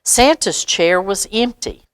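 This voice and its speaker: A woman's voice: mid-range voice, clear, resonant tone, no room sound, clean studio sound. A woman reading a picture book aloud to children as a library storyteller, stopping for asides and questions. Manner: expressive, pauses for asides and questions to young listeners.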